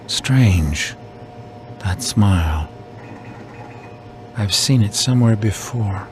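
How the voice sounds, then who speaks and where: An actor playing a cold, calculating boss in a video game, measured and commanding. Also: male voice, lively intonation, music in the background. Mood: cool, calculating. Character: cold, calculating male boss in control room. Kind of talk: video game cutscene acting. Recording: rumbling noise behind the voice.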